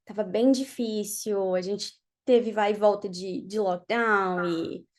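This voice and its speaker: A young woman from Brazil, a podcast guest, chatting casually and telling her story in an animated way, laughing often. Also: medium pitch, slow. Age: young adult. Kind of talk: casual interview chat. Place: podcast studio.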